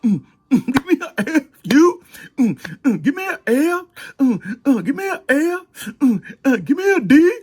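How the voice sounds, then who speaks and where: Man putting on a high-pitched, peppy cheerleader chant. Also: sing-song, a little slow, a little mellow, heavily acted. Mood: peppy, rousing. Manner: rhythmic call-and-response chanting with hummed beats. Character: peppy, tireless sideline cheerleader girl chanting spelled-out cheers.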